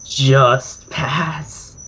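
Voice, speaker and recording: Man, game master, medium pitch, casual tabletop talk, muffled mic, faint noise and clicks. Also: little pitch movement, very slow, pushed and bright.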